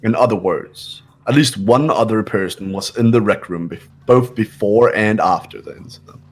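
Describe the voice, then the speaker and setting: A man's voice: fairly low pitch, normal speed, faint music behind, close to an everyday voice. A man voicing an arrogant young man who is cold and dismissive.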